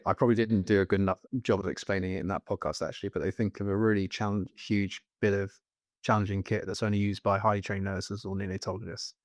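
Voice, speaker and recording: Man, low monotone, matter-of-fact explainer, dry mic. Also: no room sound. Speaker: guest, medical device innovator.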